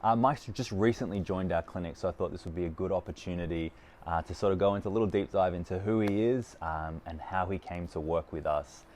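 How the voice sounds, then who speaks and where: A deep-voiced man in his forties hosts a relaxed interview, summing up what his guest says before asking the next question. He sits on an outdoor veranda with a lapel mic, and faint outdoor noise hangs behind him. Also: a little fast. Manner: friendly, summarizing questions. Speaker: clinic owner and host. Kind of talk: casual interview.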